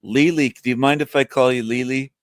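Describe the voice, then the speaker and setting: A man's voice: medium pitch, fairly even intonation, brisk, no echo. An older man hosting a casual livestream, rambling and joking with a strong voice that sounds younger than his age. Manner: rambling, joking, laughs often. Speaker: livestream host. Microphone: webcam setup, camera off.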